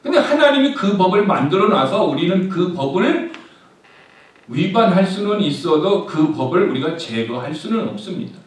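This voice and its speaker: Man, pastor, higher-pitched, call-and-answer preaching, echoey church hall, far from the mic. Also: big pitch swings, moderate pace. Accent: Korean. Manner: asks the congregation rhetorical questions and answers them himself. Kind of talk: sermon. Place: church sanctuary.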